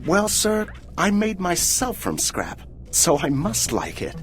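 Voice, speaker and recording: A man's voice: sits high, wildly swooping pitch, slow, music in the background, full character voice. A man voicing an eager young robot newcomer in a video game, wide-eyed and courteous. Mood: eager, polite. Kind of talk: scripted video game cutscene acting.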